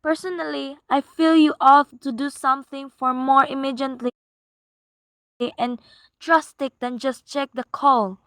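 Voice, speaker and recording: Radio drama role: nervous, insistent invalid wife female actor, high pitched and sharp-toned. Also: fairly even intonation, unhurried, dry recording. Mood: indignant, insistent. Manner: fretful, insistent. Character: anxious, demanding, bedridden married woman phoning police. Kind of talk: radio play acting.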